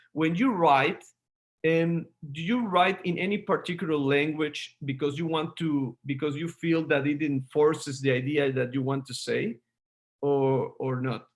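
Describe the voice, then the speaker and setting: Guy interviewing, stumbles and repeats a lot, kinda high voice. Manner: stumbling, repeats words while searching for phrasing. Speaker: interviewer, host. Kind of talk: conversational interview.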